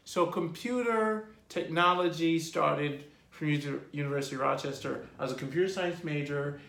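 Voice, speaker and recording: A man in his forties, an artist telling his life story in a relaxed, rambling way during an interview. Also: a little high, moderate pace, creaky. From Jamaica. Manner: rambling lists, relaxed and reflective. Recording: echoey room.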